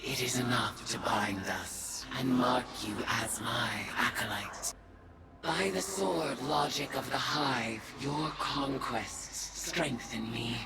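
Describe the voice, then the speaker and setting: Vengeful witch-god, game villainess gravitas, slow incantatory delivery, creaky gravel, loud music underneath. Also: rumbling low, full character voice. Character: vengeful woman turned solemn Hive god, ritual witch. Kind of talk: dramatic video game acting.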